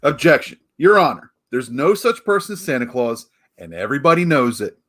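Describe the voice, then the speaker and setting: An actor playing a combative, exasperated prosecutor in a 1940s-style radio play, indignant and emphatic. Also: male voice, medium pitch, big pitch swings, brisk, heavy background noise, lightly acted. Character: exasperated, combative male prosecutor, family man.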